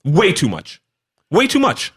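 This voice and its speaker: Casual male radio co-host from Canada, higher-pitched, bright tone, laughs a lot, rambles with restarts. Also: wildly swooping pitch, a little slow, breathy edge. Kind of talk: casual chat.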